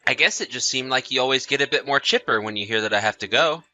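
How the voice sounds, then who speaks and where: A man's voice: mid-range voice, fast talker, sharp tone. A man playing an anxious, trusting husband in a scripted audio story, apologetic and full of questions. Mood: probing. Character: anxious, trusting husband often away on business.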